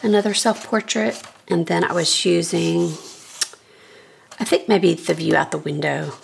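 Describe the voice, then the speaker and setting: A middle-aged woman with a low, scratchy, gravelly voice walks through her sketchbook in a relaxed, thinking-aloud way. She chats casually from her home studio, with a faint hiss under her voice. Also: unhurried. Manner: relaxed, thinking aloud while flipping pages. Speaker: artist giving a sketchbook tour. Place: home art studio, indoors. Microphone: overhead camera, speaker off-frame.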